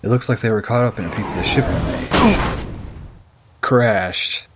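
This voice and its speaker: A man playing a practical, slightly exasperated robot crewmate in an audio drama, husky and casual. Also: lowish voice, moderate pace, heavy background noise, natural voice. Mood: mildly exasperated.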